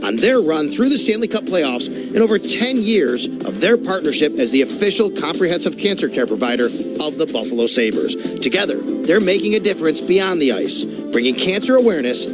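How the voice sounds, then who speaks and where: A man's voice: fairly high pitch, big pitch swings, a little fast, loud music behind the voice. A man reading scripted narration as a radio ad announcer. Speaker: advertisement announcer.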